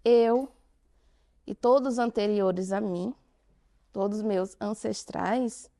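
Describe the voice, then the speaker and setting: A woman with a Brazilian Portuguese accent giving an interactive conference talk, questioning the audience and speaking with emphatic pauses. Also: mid-range voice, mellow.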